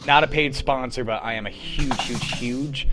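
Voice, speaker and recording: A man's voice: medium pitch, moderate pace, loud music behind the voice. A young man hosting a livestream, chatting casually and rambling with lots of fillers. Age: young adult. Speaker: show organizer and host. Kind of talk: casual wrap-up chat on a livestream.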